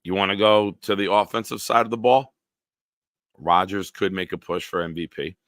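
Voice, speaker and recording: A man in his forties hosting a live-stream show, reading viewer comments aloud and bantering bluntly with a smooth, deep voice. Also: moderate pace, fairly bright tone, no room sound, studio quality. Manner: banters with chat, blunt and profane.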